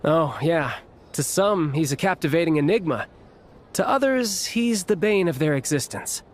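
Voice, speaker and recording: A man's voice: fairly high pitch, animated, moderate pace, a little hiss or hum, natural voice. A man voicing a breezy, confident young male officer in a video game, with a chummy, assured manner. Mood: breezy, amused. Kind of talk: video game cutscene acting.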